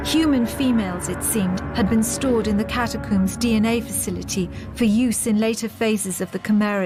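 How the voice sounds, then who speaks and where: An actress playing a composed woman officer who recounts a war in a measured, formal game narration. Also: mid-range voice, natural voice. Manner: measured, solemn, formal storytelling. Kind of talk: scripted game cutscene narration. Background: music.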